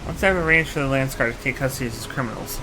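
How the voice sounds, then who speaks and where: A man's voice: medium pitch, racing, background noise, natural voice. Stern, imperious royal man played by an actor. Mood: composed. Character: stern, commanding royal man plotting against bandits. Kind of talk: fantasy video game voice acting.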